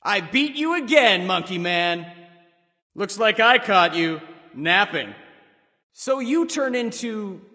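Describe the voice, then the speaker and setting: An actor playing a proud, commanding warrior sage god in a video game, giving crisp, theatrical callouts with confidence. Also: male voice, high-pitched, animated, slow, sharp tone, long reverb tail, heavily acted. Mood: proud, taunting.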